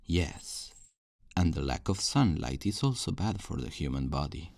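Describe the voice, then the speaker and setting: A man's voice: very deep voice, lively intonation, unhurried, creaky, a little mellow, no echo, clean studio sound, full character voice. A man playing a possessive, self-righteous kidnapper in an ASMR roleplay, speaking in a condescending, coaxing, menacingly tender way. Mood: smug, coaxing. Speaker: audio roleplay performer.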